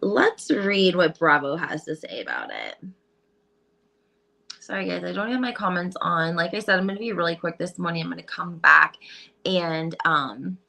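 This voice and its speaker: A woman hosting a casual gossip vlog, talking in a crisp, bright tone. She is breezy and chatty, reading headlines aloud and filling gaps with ums and likes. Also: lowish voice, very animated, moderate pace. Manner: chatty, breezy, lots of fillers. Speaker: gossip channel host.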